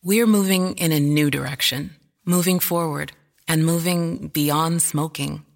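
Gravelly, higher-voiced male announcer. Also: steady tone, measured pace. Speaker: commercial announcer. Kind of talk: scripted corporate ad read.

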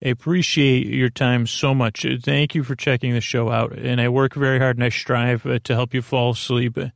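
A man with a creaky voice hosting a bedtime podcast, talking in a soothing, meandering, halting monologue with goofy asides. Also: mid-range voice, little pitch movement, dry recording, clean studio sound. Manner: soothing, lulling delivery. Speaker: podcast host. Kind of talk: rambling bedtime monologue to help listeners sleep.

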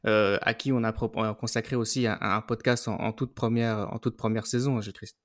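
A man's voice: lowish voice, level tone, brisk. A man hosting a podcast, moderating conversationally and handing over to his panelists. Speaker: podcast host.

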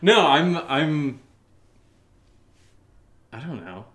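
A man co-hosting a podcast, chatting casually in a teasing, jokey, self-deprecating way and getting animated. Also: on the high side, extremely slow. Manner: animated, gets louder. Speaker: co-host of a horror audio drama podcast, answering listener questions. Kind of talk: casual comedic chat.

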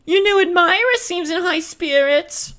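A woman reading the part of a bright, innocent young female friend in a stage play. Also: very high voice, expressive, measured pace, voice pushed up, heavily acted. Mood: perky. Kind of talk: script read-through of a play.

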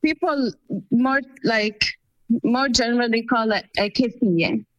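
A woman's voice: on the high side, lively intonation, measured pace, dry recording, muffled recording. A woman of Palestinian family background, answering interview questions in an earnest, explanatory way with many fillers. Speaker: guest.

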